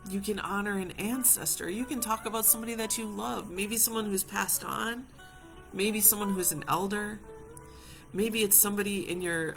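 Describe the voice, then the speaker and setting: A woman from Hawaii leading a reflective live session as a community healer, speaking gently and reassuringly to viewers and repeating phrases for emphasis. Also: on the low side.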